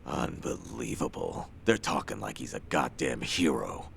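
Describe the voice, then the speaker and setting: An actor playing a gruff, shrewd, cynical gang boss with a gravelly voice in a video game. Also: male voice, very deep voice, sing-song, measured pace, a bit bright, slight background noise, full character voice. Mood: disgusted. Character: gruff, shrewd male gang boss guarding his turf.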